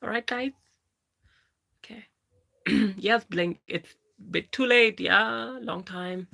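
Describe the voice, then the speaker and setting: A woman's voice: mid-range voice, takes it slowly, gravelly, pushed and bright. A woman in her thirties with a Pakistani English accent, chatting casually on a live stream and answering viewers by name. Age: 30s. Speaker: livestream host. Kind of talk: casual live chat reading viewer comments.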